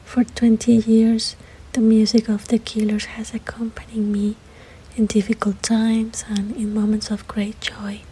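A woman from Costa Rica with a Spanish accent and a breathy, whispery voice, giving a prepared thank-you message as a fan. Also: on the high side, completely flat delivery, unhurried, warm tone, rough recording. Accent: Costa Rican Spanish.

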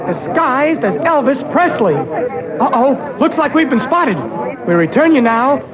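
A man playing an excitable on-the-spot news reporter in a vintage comedy record's space-age news spoof. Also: extremely high pitch, measured pace, over a noisy crowd, exaggerated voice. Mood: urgent, mock-serious. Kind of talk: 1950s novelty-record mock news reporting. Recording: lo-fi vintage novelty record with music.

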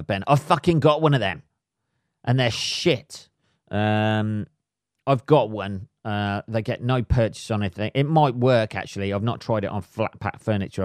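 A man, a little hoarse, ranting comically and swearing constantly in a rambling solo podcast monologue. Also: lowish voice, dry recording, studio quality. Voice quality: heavy swearing, rambling with many fillers. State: slightly hoarse. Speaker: podcast host. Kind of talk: solo comedic rant.

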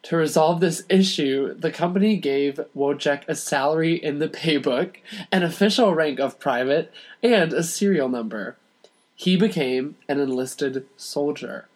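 A man's voice: fairly high pitch, measured pace. A man appearing as a podcast guest, reading aloud and chatting casually.

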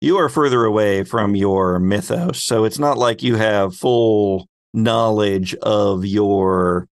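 A man's voice: fairly low pitch, takes it slowly, dry recording, studio quality. A man working as game master, narrating a tabletop roleplaying game in a dramatic storytelling style.

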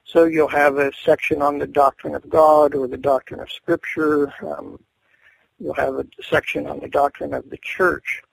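A middle-aged male seminary professor answering interview questions in a measured, thoughtful way, pausing often with fillers. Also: a little high, little pitch movement, gravelly, a little hiss or hum, low-quality recording.

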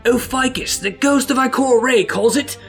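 High-pitched male narrator over music. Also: normal speed, fairly bright tone. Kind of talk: scripted narration.

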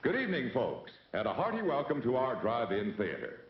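A man with an American accent makes a vintage promotional announcement, genial and showy with lively intonation. The old recording is dull, echoing and slightly distant. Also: mid-range voice, a little fast. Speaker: drive-in theater announcer.

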